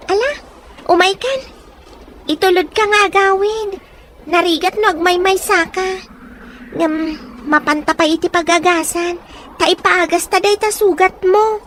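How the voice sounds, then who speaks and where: Woman acting very high-pitched, kind young orphan girl. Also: a bit bright. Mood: resilient, caring. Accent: northern Philippine. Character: poor, hard-working orphaned young woman, kind and resilient. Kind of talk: acted audio drama dialogue. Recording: faint background noise.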